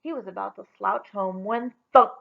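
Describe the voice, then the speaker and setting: A woman reading a children's story aloud in an expressive storytelling style. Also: medium pitch, normal speed, gravelly, highs cut off.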